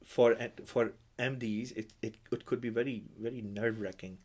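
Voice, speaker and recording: A man who speaks Urdu as his first language, hosting a podcast as an imam, talking in a measured, reverent way. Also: mid-range voice, fairly even intonation, darkish tone. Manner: measured, reverent, with religious phrases. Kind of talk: conversational interview.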